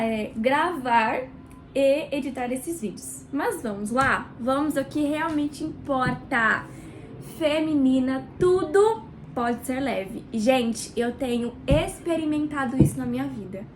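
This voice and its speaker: A woman with a Brazilian Portuguese accent hosts a book club video in her small kitchen, chatting warmly and directly to the viewer, nasal and stuffed up from a cold. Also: sits high, a little hiss or hum. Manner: warm, chatty, addresses viewer directly with pauses. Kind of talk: casual devotional reading vlog.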